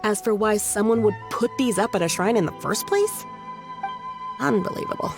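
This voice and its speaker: A woman playing an earnest, worrying young shrine maiden in a video game, with an expressive, questioning manner. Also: fairly high pitch, moderate pace, music in the background. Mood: dutiful, surprised. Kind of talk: English video game voice acting.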